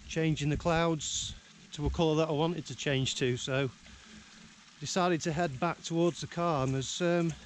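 A man's voice: on the high side, steady tone, normal speed, a little hiss or hum. A middle-aged man talks casually as he walks and shoots photos outdoors on open moorland. He fills his talk with ums and restarts, and he speaks close to a handheld camera. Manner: hesitant, many ums and restarts. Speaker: landscape photographer vlogger. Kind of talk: casual on-location vlog talk. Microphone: camera held close to face.

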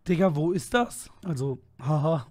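A hoarse young man streaming a game on a headset, chatting along excitedly as he plays. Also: fairly high pitch, normal speed, no room sound. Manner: excitable, thinking aloud, swearing at surprises. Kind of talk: casual gaming commentary. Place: small room at a desk.

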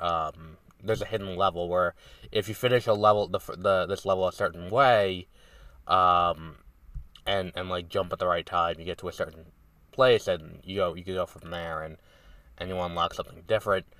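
A low-voiced man with a head cold talks into a close podcast mic, his voice nasal and stuffed up. He rambles casually, filling gaps with ums and starting sentences over. The sound is dry, with no room around it. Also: steady tone, moderate pace, fairly bright tone, clean tone, no room sound, distant mic. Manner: rambling, many ums and restarts. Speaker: podcast host. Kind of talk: casual solo review talk.

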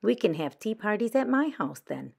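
A woman's voice: mid-range voice, very animated, moderate pace, vocal fry, darkish tone, slightly rough, voice pushed up, exaggerated voice. Woman reading as earnest, trusting young girl badger. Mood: eager. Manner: earnest, plain-spoken. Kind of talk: children's picture book read-aloud.

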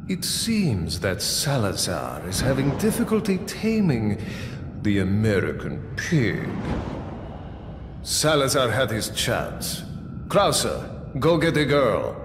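Slow, imperious cult leader. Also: male voice, mid-range voice, wildly swooping pitch, background noise, heavily acted. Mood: cold disdain. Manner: measured, disdainful, imperious. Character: cold, commanding male cult leader villain. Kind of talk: video game cutscene acting. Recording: echoey hall reverb, music behind.

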